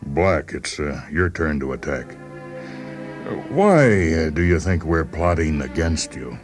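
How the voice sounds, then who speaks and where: An extremely deep-voiced male doctor, acted by a radio reader, humors his patient with chummy, patronizing patience. He hesitates gently as he probes, in a dramatized story with music playing behind him. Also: expressive, measured pace. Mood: clinical, reasoning. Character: affable male doctor coaxing a stubborn psychiatric patient. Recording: voice over background music.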